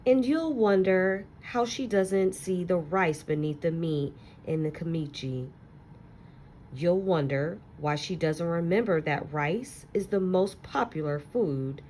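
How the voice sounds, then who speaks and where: Slow, low-voiced woman reading aloud. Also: slight background noise. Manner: gentle, expressive storytelling with soft asides to listeners. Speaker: teacher reading aloud to young children. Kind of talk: children's picture book read-aloud.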